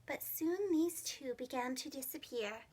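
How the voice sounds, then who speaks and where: Very high-pitched woman reading a storybook. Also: a little slow, background noise. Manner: expressive, simple phrasing for young children. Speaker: preschool teacher and storyteller. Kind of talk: children's storybook narration.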